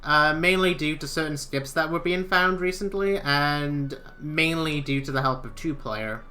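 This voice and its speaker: A man's voice: fairly high pitch, measured pace, pushed and bright. A man from Wales whose first language is Welsh, chatting and joking casually while playing a game live. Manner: chatty, joking, self-deprecating. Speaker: speedrunner.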